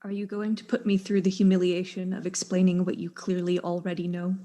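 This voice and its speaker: A woman's voice: fairly low pitch, completely flat delivery, a little fast, warm tone, close to an everyday voice. Formal, resentful woman scientist acted. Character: proud, bitter female scientist, a wronged wife. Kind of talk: murder-mystery play dialogue.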